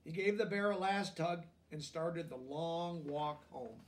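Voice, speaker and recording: A man reading a children's picture book aloud, deliberately, with simple explanatory asides in plain words. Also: fairly high pitch, recorded from a distance. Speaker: storybook reader.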